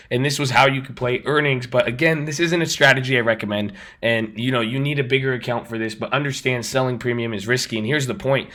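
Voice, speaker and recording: A man with a raspy voice, just over an illness, talking casually as host of a trading channel. He rambles and repeats himself as he walks through his screen. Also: mid-range voice, bright, forceful tone. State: recovering from illness. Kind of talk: casual screen-share explanation.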